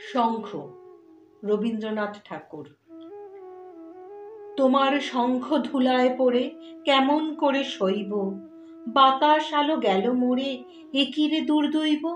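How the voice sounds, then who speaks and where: A woman with a high voice delivers poetry in a solemn, expressive style. She takes her time over each phrase. The sound is echoey, with faint music behind her. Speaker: poetry reciter and channel host. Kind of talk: dramatic poetry recitation with a spoken introduction.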